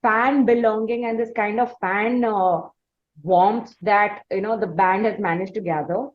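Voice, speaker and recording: A woman's voice: mid-range voice, steady tone, unhurried. A woman with an Indian accent hosting a casual, chatty interview on dull, lo-fi audio. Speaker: interviewer, podcast host.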